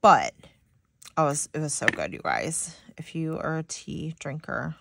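Chatty, slightly stuffy woman, a bit low. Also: sing-song, measured pace, creaky, heavy background noise. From Michigan. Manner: rambling, chatty, many "like"s, talks to viewers. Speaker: planner vlogger. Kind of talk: casual chatty voice-over while decorating a planner.

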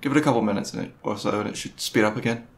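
Male actor for considerate, geeky young boyfriend, ASMR audio roleplay. Raspy, soft-spoken, conversational, slightly unsure of himself. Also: fairly low pitch, racing. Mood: casual, wry. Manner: hesitant, soft-spoken, conversational, with mumbled asides. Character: gentle, nerdy, considerate young boyfriend on movie date.

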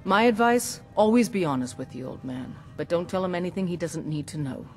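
A woman's voice: on the low side, lively intonation, fairly quick, loud music behind the voice. A woman playing a fierce warrior huntress in a fantasy game, speaking in an earnest, confiding way. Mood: confiding, cautioning. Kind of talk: scripted fantasy game dialogue.

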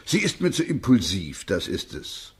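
A man playing a pessimistic, grumbling chief clerk of a law office in a radio play, husky, emphatic and disapproving. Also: on the low side, big pitch swings, moderate pace, heavily acted. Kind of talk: German radio drama acting.

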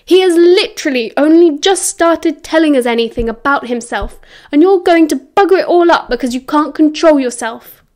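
A woman's voice: sits high, moderate pace, a little mellow, close to an everyday voice. A woman voicing an indignant teenage schoolboy in a story, bluntly scolding his best friend with British slang. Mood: outraged. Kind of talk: dramatised story reading.

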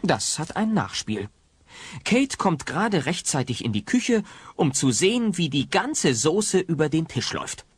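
A man's voice: a little high, very animated, unhurried, husky, dry recording. A man narrating a scripted comedy audio drama as a wry storyteller.

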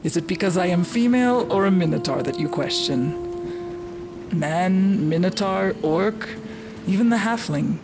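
A woman playing a wise, philosophical female minotaur warrior in a fantasy audio drama, speaking in a measured way. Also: lowish voice, animated, background noise. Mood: calm, questioning.

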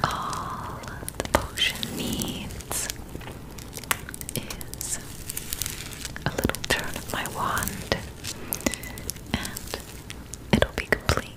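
A young adult woman performing a whispered ASMR roleplay close to the mic, gentle and soothing as a friendly witch. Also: very slow, long pauses, low-quality recording. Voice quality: breathy, unvoiced whisper.

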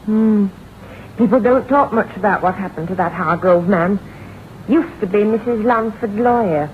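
An actress playing a gossipy, folksy country shopkeeper in an old-time radio drama, telling morbid tales with drawn-out pauses. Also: female voice, mid-range voice, natural voice. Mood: nosy, gossipy. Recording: muffled, hissy vintage radio recording.

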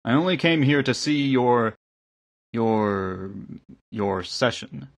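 Man playing a husky, reserved male newcomer. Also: medium pitch, a little fast, fairly bright tone. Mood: polite, reserved. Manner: polite, uncertain. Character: reserved male newcomer, a curious skeptical visitor. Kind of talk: audiobook character acting.

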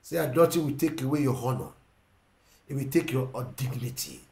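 A middle-aged man with a Ugandan English accent preaching a sermon, emphatic and repetitive, swinging between loud and quiet. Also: medium pitch, animated, fairly quick, roomy sound. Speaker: pastor. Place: indoor room with plain wall. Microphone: seated facing camera.

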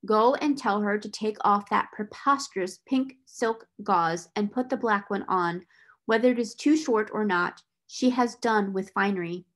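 A woman's voice: medium pitch, a little slow, fairly bright tone, natural voice. A woman voicing a cold, furious, domineering older schoolmistress with a fierce, biting delivery in a storybook reading. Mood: fierce, bitterly ironic.

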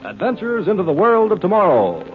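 A man's voice: high-pitched, huge pitch swings. Man announcing an old radio show. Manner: measured, dramatic announcer delivery. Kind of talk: formal 1950s radio announcing. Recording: dull old radio recording over music.

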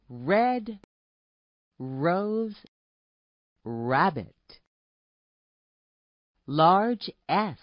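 A woman reading a scripted phonics lesson for young children, saying words and short phrases deliberately and separately. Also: lowish voice, no echo, dull audio. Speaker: narrator of a children's alphabet app.

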